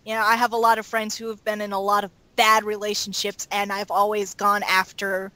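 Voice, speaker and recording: A woman talking casually as a cast member in an interview, animated and emphatic when retelling things. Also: medium pitch, brisk, no room sound. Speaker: audio drama cast member.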